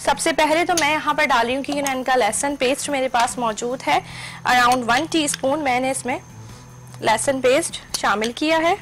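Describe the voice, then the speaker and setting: A woman's voice: high-pitched, normal speed, fairly bright tone, faint music behind. A middle-aged woman hosting a cooking show from a studio kitchen, explaining each step in a chatty, instructive way and mixing in English cooking terms.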